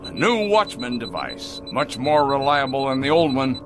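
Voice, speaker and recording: An actor playing a cold, pompous factory boss in a radio drama, with a theatrical, condescending manner. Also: male voice, mid-range voice, big pitch swings, moderate pace, music in the background, lightly acted, rough recording. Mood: brisk, officious.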